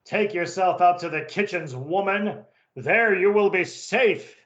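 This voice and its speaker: A man's voice: high voice, a little slow, a bit bright, muffled recording, pitched above the performer's own voice. A man voicing a scornful, bullying Viking warrior in a dramatic comic-book story reading. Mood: mocking.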